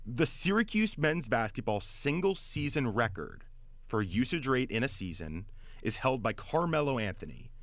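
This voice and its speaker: A man's voice: medium pitch, big pitch swings, moderate pace, no room sound. A man hosting sports talk radio, giving opinionated analysis emphatically and often repeating words as he gets going. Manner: emphatic, stammering repetitions. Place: radio studio.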